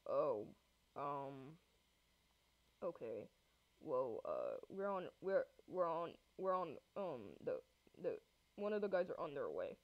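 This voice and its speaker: A woman's voice: deep voice, very animated, slow, long pauses, voice pushed down, full character voice. A woman acting out an awkward male police officer in an amateur roleplay drama, hesitant and blunt. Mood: flustered, calming. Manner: hesitant, blunt, full of ums. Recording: muffled lo-fi audio.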